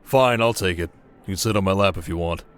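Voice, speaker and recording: A man's voice: low-pitched, quick pace, clear, resonant tone, close to an everyday voice. A man playing a confident, protective desert king with a commanding, dryly teasing manner in a fantasy audio roleplay. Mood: teasing, affectionate. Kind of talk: dramatic ASMR roleplay acting.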